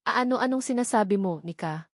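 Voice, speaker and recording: A woman's voice: mid-range voice, animated, fairly quick, dry recording, natural voice. A defensive, disbelieving young man as a woman narrator acts him out in a love story. He stammers and protests. The sound around the voice is dry. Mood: shocked denial. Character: shocked, defensive young man denying fatherhood.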